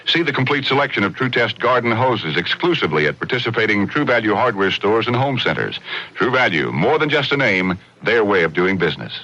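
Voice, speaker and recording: A man's voice: lowish voice, very animated, fairly quick, sharp tone. A man reading a scripted radio commercial as an announcer. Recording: dull, band-limited radio recording.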